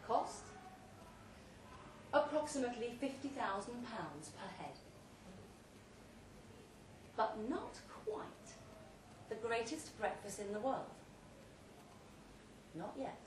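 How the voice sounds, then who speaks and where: Female live storyteller, highish voice, slow and theatrical delivery, picked up from a distance in an echoing room. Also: big pitch swings. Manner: theatrical, deliberate, with dramatic pauses. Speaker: story reader at a live literary event. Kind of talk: dramatic live reading of a comic second-person short story. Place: pub event room, before an audience. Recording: roomy, distant live recording, faint noise.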